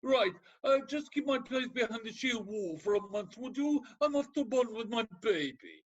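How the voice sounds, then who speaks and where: A man playing a gruff, macho Spartan ex-soldier turned counsellor in a radio play, husky, blunt and sardonic but secretly soft. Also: very high voice, lively intonation, moderate pace, highs cut off. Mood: scornful.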